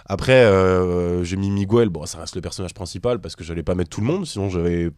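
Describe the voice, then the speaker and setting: A man's voice: sits low, fairly quick, darkish tone, dry recording, clean studio sound. A man co-hosting a casual podcast among friends, joking around and then explaining things earnestly. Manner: joking, puts on silly accents, explains earnestly. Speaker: podcast co-host. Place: studio table with friends.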